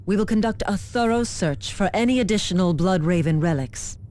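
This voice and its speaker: A woman's voice: mid-range voice, expressive, fairly quick, dark tone, close to an everyday voice. Woman as a haughty, imperious official. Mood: smug, stern. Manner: formal, self-assured, imperious. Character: haughty, officious administrator claiming authority. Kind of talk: video game character acting.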